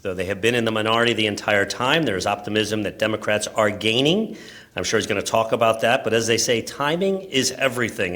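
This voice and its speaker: Man, American accent, event host, formal introduction with dry asides, sharp forceful tone. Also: on the low side. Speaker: press club luncheon host. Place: luncheon event room, speaking to an audience.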